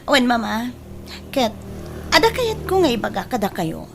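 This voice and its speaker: A woman's voice: a little high, big pitch swings, measured pace, gravelly, background noise, exaggerated voice. A woman acting a headstrong, lovestruck young daughter in an emotive audio drama, pouting and protesting. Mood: pleading, defiant.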